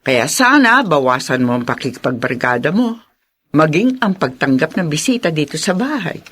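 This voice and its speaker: An actress playing a scheming, indignant middle-aged stepmother in a Filipino radio drama, theatrical and forceful. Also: deep voice, sing-song, moderate pace, husky, full character voice. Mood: controlled, bossy.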